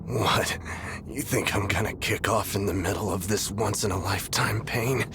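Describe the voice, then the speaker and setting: An actor playing a rough, cocky but devoted young henchman in a game cutscene, mortally wounded, with a casual, irreverent drawl. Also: on the low side, normal speed, background noise, natural voice. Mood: cocky, savoring pain. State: wounded, strained, fading. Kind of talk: dramatic game cutscene acting. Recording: voice over loud background music.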